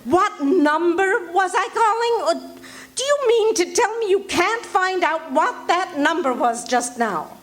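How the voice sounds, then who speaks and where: A woman acting a high-strung, imperious wealthy lady in a live radio play, urgent and breathless. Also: lively intonation, measured pace. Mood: indignant. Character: anxious demanding wealthy woman, invalid. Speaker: radio drama actor. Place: RV resort hall before a live audience.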